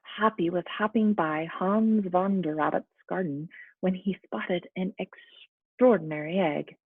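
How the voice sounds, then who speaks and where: A woman's voice: on the low side, unhurried, husky, dry recording. A woman teacher speaking encouragingly to young children, as in a lesson and storybook read-aloud, with muffled sound. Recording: muffled, phone-like narrow-band sound.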